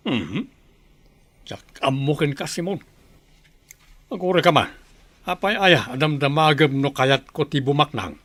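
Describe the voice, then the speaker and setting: A man's voice: fairly high pitch, animated, measured pace, pauses often, fairly bright tone, dry recording. A man with an Ilocano accent playing a skeptical, ambitious village farmer in a radio comedy-drama.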